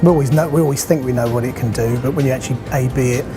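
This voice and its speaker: Exhibitor guy explaining stuff in short bits, normal pitch, music behind him. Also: very fast. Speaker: exhibitor interviewee. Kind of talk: informal interview.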